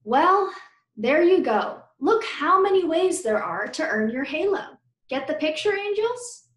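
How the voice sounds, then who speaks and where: A woman voicing a cheerful, playful female teacher in an animated, encouraging storybook read-aloud. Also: sits high, measured pace, reverberant, voice pushed up. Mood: brisk, upbeat.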